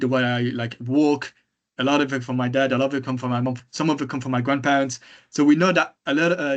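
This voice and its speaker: A man giving a conference talk in a loud voice, hesitating often with fillers. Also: mid-range voice. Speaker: conference speaker. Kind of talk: presentation talk.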